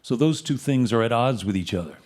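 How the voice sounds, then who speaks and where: A man's voice: mid-range voice, animated, a little fast, darkish tone. A man hosting a live radio show on stage, introducing and interviewing guests in a conversational way. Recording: live stage with audience crowd.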